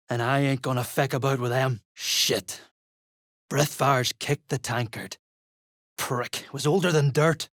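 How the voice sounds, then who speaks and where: An actor playing a coarse, greedy duergar mercenary in a fantasy video game, speaking gruffly in rough, sweary slang. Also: mid-range voice, a bit bright, dry recording, clean studio sound, heavily acted. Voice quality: gravelly, husky.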